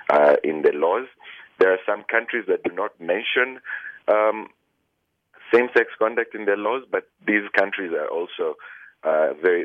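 A man's voice: very deep voice, dry recording. A man, a human rights lawyer, answering interview questions earnestly with many fillers and restarts. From Kenya. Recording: tinny phone line, dry.

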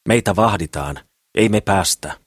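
A man voicing a brash young woman in an audiobook, brisk and scornful but comforting. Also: lowish voice, very animated, breathy edge, no echo, studio quality, voice pushed up. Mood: irritated, scornful. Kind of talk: audiobook character voice.

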